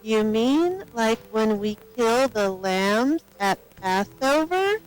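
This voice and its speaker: A woman's voice: fairly high pitch, expressive, slow pace, low-quality recording. A woman voicing a timid, curious little girl in a children's storybook tale.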